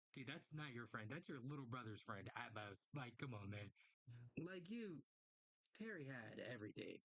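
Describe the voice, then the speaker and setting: A young adult with a medium-pitched voice reads a comic aloud and reacts to it casually, with slangy, profane, excitable commentary. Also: dry recording. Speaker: comic read-along host and commentator. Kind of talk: read-along with casual live reactions.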